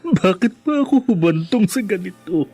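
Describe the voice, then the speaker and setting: A broken, remorseful Filipino husband in prison. His voice is rough and raised, halting and emotional, over radio drama music. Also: sits high, expressive, darkish tone, exaggerated voice. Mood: tearful remorse. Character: remorseful imprisoned husband and father, broken and ashamed.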